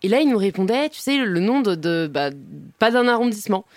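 A woman's voice: mid-range voice, a little fast, no room sound, clean studio sound. Candid young Parisian woman. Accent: Parisian French. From France. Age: young adult. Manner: candid, animated, many fillers and laughs. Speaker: guest. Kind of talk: casual interview chat. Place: restaurant wine cellar.

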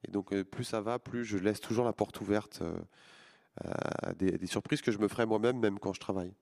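Comics artist guy in his 40s, lowish voice, keeps stumbling and restarting, thoughtful interview talk. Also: fairly even intonation, fairly quick, creaky, darkish tone. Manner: hesitant, many restarts and fillers. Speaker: comic book artist and author. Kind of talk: interview answers.

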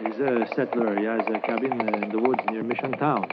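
An actor playing an earnest, fearless Mountie constable who speaks halting English with a French Canadian accent, in 1950s radio drama style. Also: male voice, medium pitch, fairly even intonation, close to an everyday voice. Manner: halting broken English with frequent uh. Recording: tinny 1950s radio transcription, hissy.

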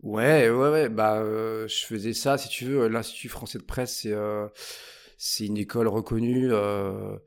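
A man's voice: lowish voice, steady tone, unhurried, clear, resonant tone. A man in his forties from the Paris area, a podcast guest talking casually in an office, full of hesitations and colloquial slang. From Paris area, France. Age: 40s. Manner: hesitant, many "euh" fillers and repeated words, colloquial slang. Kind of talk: casual conversational interview.

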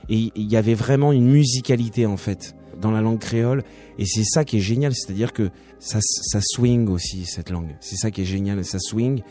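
A musician guest, a man from France, talking in a relaxed, chatty way with many restarts. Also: lowish voice, moderate pace, faint music behind. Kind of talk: casual interview talk.

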